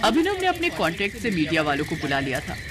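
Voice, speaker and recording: A woman's voice: on the low side, huge pitch swings, fast, crowd noise. A woman reading a scripted story as its storyteller narrator.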